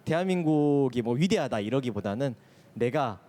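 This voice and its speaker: A male teacher on a convention hall stage, speaking in a Jeolla dialect. His pitch sits mid-range, and he answers earnestly, a little nervous, often restarting his sentences. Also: expressive, moderate pace, warm tone. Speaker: teacher and panelist.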